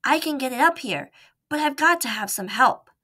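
A woman voicing an eager, determined young male mouse in a storybook reading, pleading and excited. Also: high-pitched, normal speed, voice pushed up, exaggerated voice.

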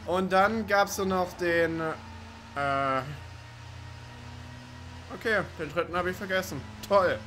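A man's voice: sits high, slow, long pauses, bright, forceful tone, soft background music. A man giving casual, rambling, sweary let's play commentary over racing game sounds. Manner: rambling, sweary, joking to himself. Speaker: gaming video commentator.

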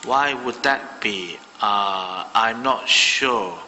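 Bright-voiced man demoing a walkie-talkie. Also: fairly low pitch, very slow, big-room sound, dull audio, a little hiss or hum. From Malaysia. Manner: rambling, thinking aloud with many fillers. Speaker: hobbyist radio reviewer. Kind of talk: casual hands-on product demo. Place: indoor room at a desk.

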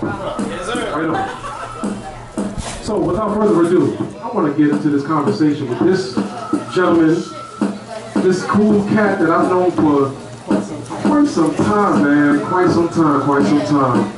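A man's voice: fairly high pitch, a little slow, long pauses, distant mic, over music. A man hosting a live event on a handheld microphone, with casual, slangy, jive-talking banter and repeated phrases. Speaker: radio show host and interviewer. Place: rooftop bar stage at a festival at night. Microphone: handheld stage microphone. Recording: echoey live PA from afar, loud crowd.

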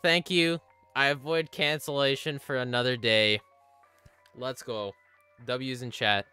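A young man streaming a game live, joking with chat and reacting excitably, with yelps and laughs. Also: medium pitch, a little slow, clear, resonant tone, faint music behind. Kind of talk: live gameplay commentary.

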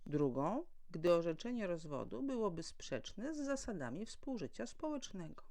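A woman's voice: fairly low pitch, big pitch swings, normal speed, dark tone. A woman presenting a formal, prepared legal explainer as an educator, setting out points one by one in a didactic way.

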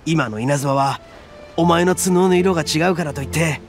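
An actor playing a boastful, brash young male oni gang leader in a game cutscene, with a rough, swaggering way of talking and a gravelly edge. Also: a little high, normal speed, natural voice. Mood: earnest. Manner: swaggering, rough-spoken, self-proud. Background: soft game music.

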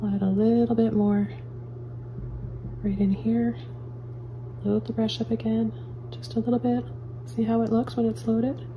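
A woman calmly talking viewers through painting step by step, as a painting teacher in her studio. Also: a little high, measured pace, pauses often, lo-fi sound, a little hiss or hum. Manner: calm, gentle step-by-step instructions. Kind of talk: real-time painting tutorial. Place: indoor studio at an easel.

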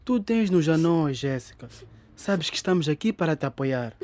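A man's voice: fairly high pitch, expressive, moderate pace, a little mellow, close to an everyday voice. An actor playing a supportive, protective young male cousin in a radio drama, caring and earnest. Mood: reassuring, determined.

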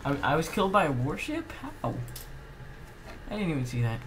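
A man giving casual, halting gameplay commentary as host of a gaming channel, slightly under the weather. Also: a little high, sing-song, background noise.

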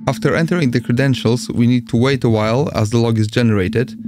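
A man's voice: mid-range voice, fairly even intonation, fast talker. A man from Poland with a Polish accent, narrating a step-by-step amateur radio screen tutorial as its host. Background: music.

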